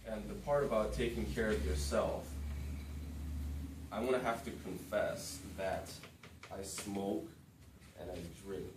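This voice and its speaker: A man in his thirties with a low, deep voice speaks casually to a room of students, often stopping to ask "okay?" and filling pauses with "um". He sounds a little distant from the mic, with a roomy echo and noticeable background noise from the space. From born in the United States, raised in Japan. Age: 30s. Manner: casual, frequent "um" and "okay?" check-ins. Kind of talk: informal classroom talk.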